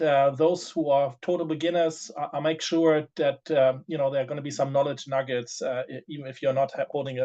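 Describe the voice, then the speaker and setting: Moderator, male, slightly high voice, hesitant, lo-fi call audio. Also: a little mellow. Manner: hesitant, many fillers and restarts. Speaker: panel moderator. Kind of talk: webinar moderation.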